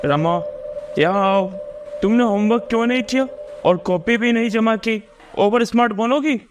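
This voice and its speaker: A man's voice: high voice, moderate pace, background noise, natural voice. A man voicing a harsh, snobbish, jumpy woman schoolteacher in a cartoon horror story. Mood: scolding. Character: harsh, snobbish female schoolteacher, quick to scold, easily frightened.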